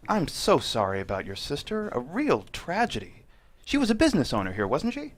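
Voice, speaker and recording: A man's voice: on the high side, huge pitch swings, brisk. An actor at a screenplay table read playing a menacing male killer who talks with polite, condescending civility. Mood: feigned friendliness.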